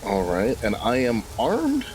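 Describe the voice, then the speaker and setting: A man's voice: mid-range voice, wildly swooping pitch, slow, darkish tone, a little hiss or hum. A man playing a tabletop roleplaying game, chatting in an informal, jokey way. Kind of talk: casual game table chat.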